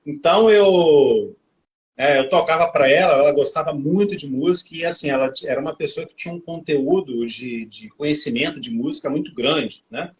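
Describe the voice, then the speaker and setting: A man's voice: on the high side, normal speed. A man in his thirties hosting a casual interview, chatty and often adding tag questions. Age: 30s. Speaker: host. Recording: dull lo-fi audio.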